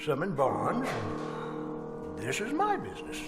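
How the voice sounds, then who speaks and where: A man playing a sly, murderous old villain in an old-time radio horror play, falsely soothing and chuckling, repeating himself in halting phrases. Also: medium pitch, huge pitch swings. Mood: gloating. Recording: live stage performance with loud music.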